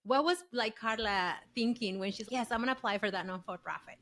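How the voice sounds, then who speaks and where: A woman's voice: on the high side, brisk, a bit bright. A woman hosting a podcast, asking questions in a casual, enthusiastic way. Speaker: podcast host. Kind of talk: podcast interview conversation.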